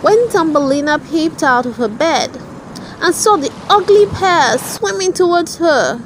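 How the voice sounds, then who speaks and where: A woman's voice: very high voice, expressive, unhurried, noisy background. A woman narrating a children's storybook aloud for very young listeners.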